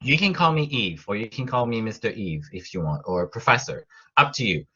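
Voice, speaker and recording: A man's voice: lowish voice, lively intonation, a little fast, pushed and bright, husky, lo-fi sound. A middle-aged man from Quebec with a French-Canadian accent, French his first language, giving a college class online with many fillers and restarts. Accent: Québécois French. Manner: rambling, restarts and fillers, rising emphasis on key words. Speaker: college professor.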